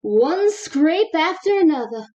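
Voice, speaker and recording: A woman's voice: high-pitched, big pitch swings, slow, darkish tone, highs cut off, full character voice. A woman acting an exasperated, fretful frontier mother with folksy rustic speech in a Western radio drama. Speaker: actor in an amateur radio drama.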